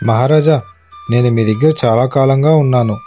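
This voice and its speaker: A man playing a witty, wily court poet in an animated moral story, exclaiming and persuasive in a colloquial way. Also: mid-range voice, normal speed, soft background music, lightly acted. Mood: feigned innocence. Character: witty, wily adult male court poet, husband and father.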